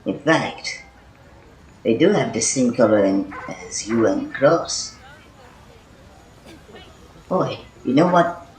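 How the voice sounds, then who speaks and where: A man's voice: medium pitch, lively intonation, slow pace, pauses often. Man acting blunt, rambling guy in echoey room. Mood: musing. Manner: rambling, hesitant, sweary. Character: blunt, foul-mouthed male squad member named Johnson. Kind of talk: amateur audio drama acting.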